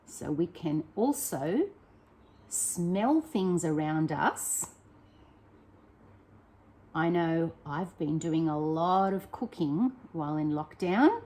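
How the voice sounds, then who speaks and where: A woman, a primary teacher reading a picture book aloud to young children, expressive and encouraging, with chatty questions to her listeners. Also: low-pitched, takes it slowly, pauses often, rough recording.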